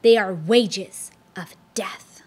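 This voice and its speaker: A woman's voice: medium pitch, very slow, husky, pitched below the performer's own voice. A woman reading aloud, voicing a humble but defiant young male pilgrim who speaks earnestly and firmly. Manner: earnest, firm, pious. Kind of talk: storybook read-aloud.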